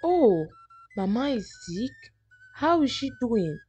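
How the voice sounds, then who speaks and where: A woman's voice: fairly high pitch, very animated, slow, mellow, breathy edge, voice pushed up, full character voice. A woman storyteller voicing a stern young husband in a folktale, with a firm, commanding manner. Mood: concerned. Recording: music behind the voice.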